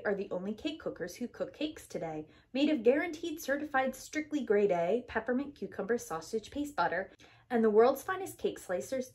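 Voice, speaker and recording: Animated woman reading a rhyming storybook. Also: on the high side. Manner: sing-song, emphatic rhythmic delivery. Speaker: preschool teacher reading to children. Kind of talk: animated read-aloud of a rhyming picture book.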